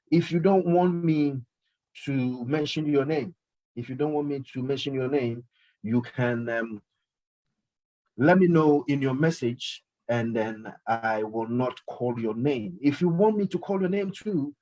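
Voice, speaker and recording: A man's voice: mid-range voice, a little mellow, low-quality recording. A man hosting a live call-in radio show, talking emphatically and often repeating his phrases. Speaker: radio host.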